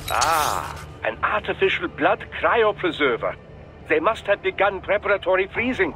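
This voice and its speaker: An actor playing an elderly, formal scientist who explains things in a measured way in a video game. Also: male voice, sits high, sing-song, bright, forceful tone, soft background music, full character voice. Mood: clinical.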